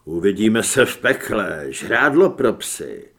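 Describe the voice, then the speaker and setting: An audiobook villain, played by a male reader: a shapeshifter posing as a big hunter. He sounds gruff and husky, pushing his words out forcefully with a slangy sneer. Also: on the low side, sing-song, voice pushed down, heavily acted.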